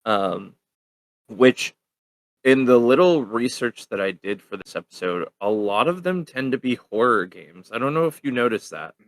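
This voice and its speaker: A man's voice: medium pitch, lively intonation, normal speed, no echo. A young man hosting a casual podcast, rambling with lots of ums and easy laughter. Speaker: podcast host.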